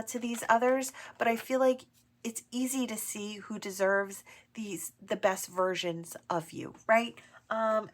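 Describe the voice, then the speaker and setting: A woman with a stuffy nose from allergies, gently giving a channeled tarot reading full of affirmations, often pausing with "um" and "right?". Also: mid-range voice. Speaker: tarot reader. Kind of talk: channeled oracle reading to camera.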